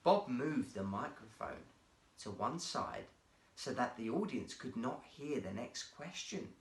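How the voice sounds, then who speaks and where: A man's voice: mid-range voice, lively intonation, a little slow. A man narrating a children's story expressively as a guest storyteller, recorded in a roomy, echoey space. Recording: roomy, echoey indoor sound.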